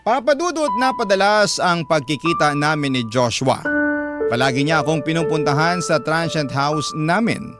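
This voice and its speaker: Male storyteller, scripted narration, slightly high register, prominent guitar music bed. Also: animated, normal speed. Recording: loud guitar music behind.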